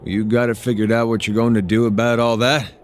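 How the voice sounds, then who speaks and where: An actor playing a weary, plainspoken adventuring companion in a fantasy video game, with a folksy, laconic way of talking. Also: male voice, medium pitch, little pitch movement, a little fast, lightly acted. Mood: gentle, probing. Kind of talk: video game character dialogue.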